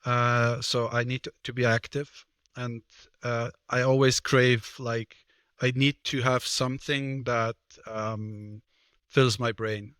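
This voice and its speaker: Thirties male guest from Switzerland, halting casual talk, bright and monotone in a dry room. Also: medium pitch, clear, resonant tone, no room sound. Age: 30s. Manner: hesitant, many fillers and restarts. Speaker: guest, personal trainer and card game player.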